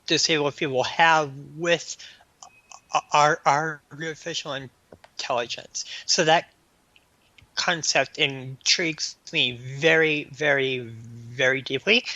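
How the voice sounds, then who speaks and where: A man answering as an interview guest in a halting, stammering way, often repeating sounds and words. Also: medium pitch, bright, forceful tone, no echo, lo-fi sound. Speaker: guest author and anthology editor.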